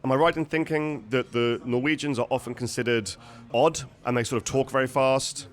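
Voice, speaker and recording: A man's voice: mid-range voice, steady tone, brisk. Chatty man hosting a walk-and-talk. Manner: chatty, self-deprecating, asks questions. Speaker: interview host. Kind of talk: casual walking interview.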